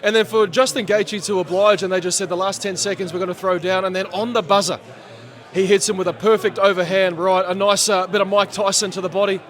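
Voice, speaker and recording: A man, an event MC giving post-fight reactions, animated and chatty and losing his voice. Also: high voice, fast talker. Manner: animated, chatty, laughs often. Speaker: MC, guest. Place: sports bar at a casino.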